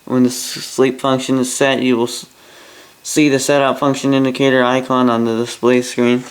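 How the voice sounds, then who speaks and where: A man's voice: medium pitch, little pitch movement, moderate pace, a little hiss or hum, poor audio. A Canadian man giving a casual, rambling hobbyist product review, with grumbling asides and mild swearing.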